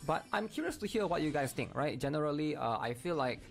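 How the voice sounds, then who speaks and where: A man's voice: fairly high pitch, lively intonation, fast talker, vocal fry, faint music behind. A man giving casual, explanatory gameplay commentary, often checking in with his viewers. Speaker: gaming video creator.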